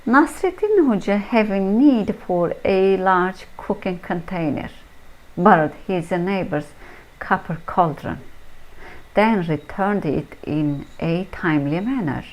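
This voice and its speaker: A woman narrating scripted folktales as a storyteller, with measured, deliberate phrasing and pauses. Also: medium pitch, animated, poor audio.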